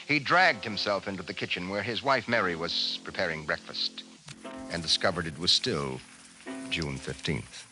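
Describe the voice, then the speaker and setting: An actor playing an ominous narrator of a 1950s radio sci-fi mystery, speaking dramatically and deliberately. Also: male voice, low voice, lightly acted, rough recording. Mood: dramatic, suspenseful. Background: loud dramatic music.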